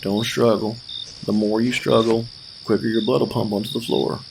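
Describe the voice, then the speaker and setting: An actor playing an older small-town policeman in an audio drama, folksy, deliberate and patronizing, with quiet menace. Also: lowish voice, little pitch movement, creaky, heavy background noise. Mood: coldly menacing. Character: menacing old small-town cop, folksy, coldly patient killer. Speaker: police officer character.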